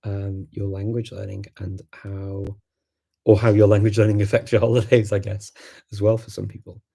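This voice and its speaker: Male stream host, native Macedonian speaker; gravelly and low, casual and rambling. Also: level tone, moderate pace, warm tone. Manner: chatty, thinks aloud with many ums and restarts. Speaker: livestream host answering viewer questions. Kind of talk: casual live chat.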